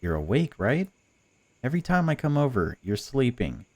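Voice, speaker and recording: A man's voice: mid-range voice, big pitch swings, normal speed, a little mellow, voice pushed up. A man voicing a female stalker in a visual novel, a chatty, patronising captor who pretends to care. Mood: mocking. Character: cold, mocking female stalker, falsely caring captor. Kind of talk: visual novel dialogue read aloud.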